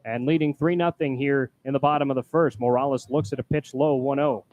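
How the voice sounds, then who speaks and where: A man calling live baseball play-by-play from a booth as announcer, projecting loudly on the calls. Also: medium pitch, steady tone, brisk, rough recording. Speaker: baseball play-by-play announcer, also doing public address. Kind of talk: live play-by-play sports commentary. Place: press booth at a ballpark. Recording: clear background noise.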